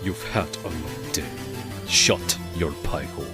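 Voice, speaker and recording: A man's voice: extremely low pitch, unhurried, over music. A man playing a haughty, contemptuous prosecutor with a sneering, imperious delivery in an audio drama. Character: haughty, contemptuous, menacing male prosecutor villain. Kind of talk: fan audio drama voice acting.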